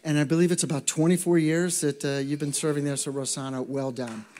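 A man's voice: on the high side, fairly even intonation, a little fast, a little mellow, slight background noise. Man introducing a guest with long hall echo. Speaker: church pastor. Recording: long church-hall reverb.

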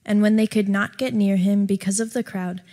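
A woman reads scripture aloud in a steady, measured voice. Her tone is mellow and her pitch is middling, with long reverb from the sanctuary around her. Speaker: scripture reader. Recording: long church-hall reverb.

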